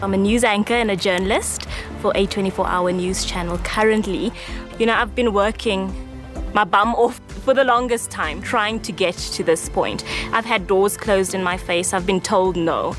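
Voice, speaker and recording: A woman's voice: mid-range voice, moderate pace, faint music behind. A woman in her twenties from South Africa, a news anchor, speaking earnestly about her journey. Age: 20s.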